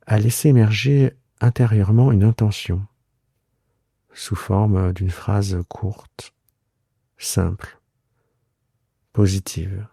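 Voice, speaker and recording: A man guiding a yoga relaxation meditation in a soothing, unhurried way, leaving long pauses between short phrases. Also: lowish voice, creaky, warm tone, husky, dry recording, clean studio sound. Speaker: yoga nidra guide and host. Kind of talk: guided relaxation meditation, improvised.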